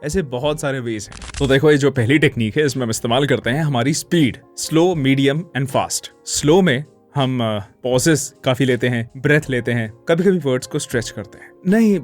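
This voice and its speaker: A man's voice: mid-range voice, fairly quick, few pauses, faint music behind. Male voiceover instructor, middle register, instructional delivery. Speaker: voiceover coach. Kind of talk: instructional explanation with demonstrations.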